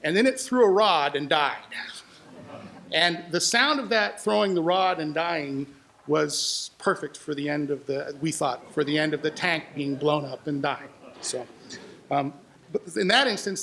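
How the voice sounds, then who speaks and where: A man's voice: fairly high pitch, normal speed, gravelly, fairly bright tone. A man speaking as an audio producer, telling informal behind-the-scenes stories in a live presentation. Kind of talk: informal storytelling to an audience.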